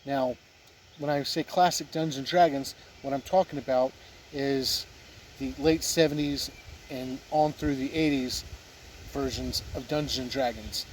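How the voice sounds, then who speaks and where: A man giving an unhurried, deliberate review talk to camera, pausing often mid-sentence. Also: fairly high pitch, darkish tone, rough recording. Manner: deliberate, halting delivery with pauses mid-sentence. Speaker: tabletop game reviewer. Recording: windy noise, outdoor-sounding ambience. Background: wind noise.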